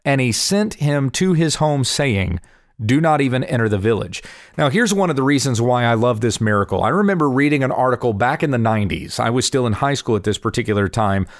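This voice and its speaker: A man in his forties, slightly hoarse from allergies, earnestly explaining Bible passages and answering viewer questions. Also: mid-range voice, expressive, brisk, no echo, studio quality. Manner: earnest, explanatory, reads scripture aloud. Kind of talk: casual Bible teaching video. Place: home in Kansas.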